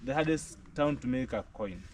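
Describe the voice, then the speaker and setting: A man's voice: mid-range voice, expressive, normal speed. A young Kenyan man hosting a casual village vlog, chatty and upbeat, repeating his phrases. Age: young adult. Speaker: vlog host. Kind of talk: casual outdoor vlog chat. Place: village compound outdoors. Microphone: action camera, no mic. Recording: noisy outdoor camera audio.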